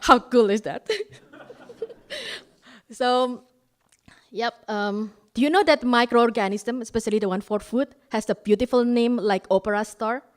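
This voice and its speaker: A woman's voice: a little high, measured pace. A woman in her forties from Indonesia, with an Indonesian accent tinged with Australian, telling self-mocking stand-up stories and laughing at her own jokes. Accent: Indonesian with Australian traces. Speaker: stand-up comedian. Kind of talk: live stand-up storytelling.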